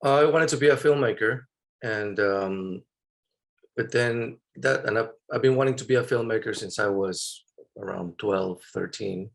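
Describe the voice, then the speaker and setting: A man's voice: lowish voice, unhurried, gravelly. A man in his thirties from Mexico, a photographer answering interview questions in a thoughtful, hesitant way with many fillers and restarts. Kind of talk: interview conversation.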